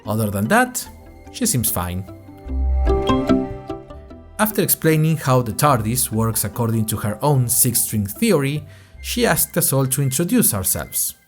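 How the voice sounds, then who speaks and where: A man's voice: mid-range voice, sing-song, a little slow, long pauses, music in the background. Man playing an anxious, wry freshman podcaster. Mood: wry, musing. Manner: rambling, wry asides, self-conscious. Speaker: narrator and host of a fiction podcast. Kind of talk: scripted first-person audio drama monologue.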